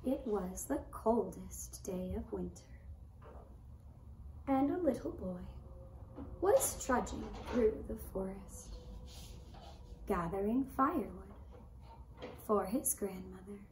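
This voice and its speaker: A woman in her thirties narrating a children's story for a puppet show, with gentle, deliberate phrasing, recorded from a camera in a room. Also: mid-range voice, lively intonation. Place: indoor puppet stage in a decorated room. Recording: roomy echo, clear background noise.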